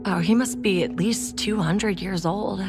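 A woman's voice: mid-range voice, measured pace, lightly acted. Woman acting a keen young girlfriend in a story, sincere, medium voice, music under it. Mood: amused, earnest. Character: earnest, enthusiastic young girlfriend.

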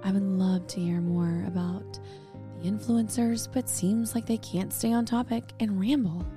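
A woman's voice: medium pitch, fairly even intonation, normal speed, mellow, soft background music. A woman co-hosting a casual chat podcast, with a chatty, jokey, folksy manner. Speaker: podcast co-host.

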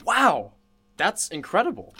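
A woman's voice: mid-range voice, wildly swooping pitch, a little slow, sharp tone, slightly rough, full character voice. An actress playing an attentive, easily impressed woman interviewer in a conversational radio play.

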